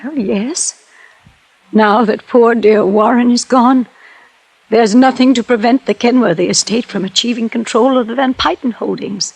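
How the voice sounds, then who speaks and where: Husky, imperious rich old widow. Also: female voice, fairly high pitch, moderate pace, a little mellow, dry recording, low-quality recording. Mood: shaken, grave. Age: older. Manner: formal, theatrical, emphatic. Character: wealthy, shrewd, imperious older widow. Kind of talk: 1950s radio drama acting.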